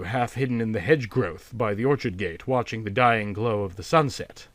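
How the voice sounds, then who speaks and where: A man voicing a reserved artist who recounts an uncanny sight in a measured, formal, grave way for a storybook reading. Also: mid-range voice, fairly bright tone, dry recording, close to an everyday voice. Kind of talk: storybook character dialogue.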